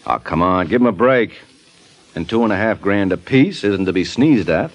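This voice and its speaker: Slangy, low-voiced radio private eye. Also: male voice, expressive, normal speed, dull audio. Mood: hard-boiled, pressing. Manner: slangy, breezy tough talk. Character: suave, wisecracking male private investigator. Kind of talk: 1940s radio detective drama acting.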